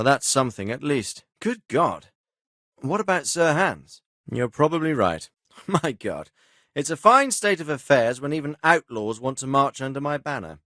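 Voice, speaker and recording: An actor playing a stern but fatherly middle-aged nobleman and commander in a video game, speaking with measured, formal authority. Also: fairly high pitch, fairly bright tone, no echo, studio quality. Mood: measured, wry. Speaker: feudal lord and military commander. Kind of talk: video game dialogue acting.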